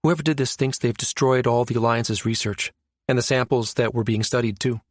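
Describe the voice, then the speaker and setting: Man voicing a brisk, wry woman space operative. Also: mid-range voice, steady tone, no room sound, clean studio sound, close to an everyday voice. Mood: explaining. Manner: informal, wry, slangy.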